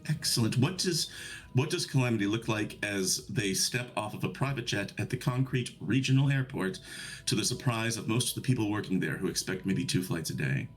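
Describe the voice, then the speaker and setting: A man's voice: on the low side, expressive, fairly quick, low-quality recording. A man acting as game master, narrating scenes and prompting his players in a loose, chatty way with frequent laughter. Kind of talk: casual tabletop game narration.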